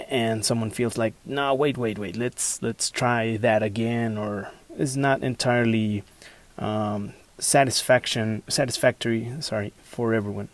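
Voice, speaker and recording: A man's voice: medium pitch, dry recording. A man asking a recorded listener question, politely and hesitantly, searching for his words. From Mexico. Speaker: listener sending an audio question.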